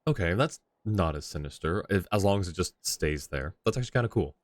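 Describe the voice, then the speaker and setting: A man streaming a game live, chatting in relaxed reactions and greeting viewers. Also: low voice, fairly quick, dry recording, clean studio sound. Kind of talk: casual live-stream commentary. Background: game music.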